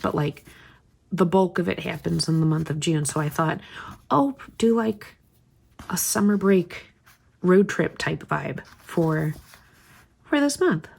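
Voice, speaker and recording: Woman vlogger with a slight cold, gravelly and a bit low, casual desk chat that drifts into whispers. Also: a little mellow. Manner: chatty, thinking aloud, trailing into whispers. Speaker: planner vlogger. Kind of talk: casual craft chat while planning.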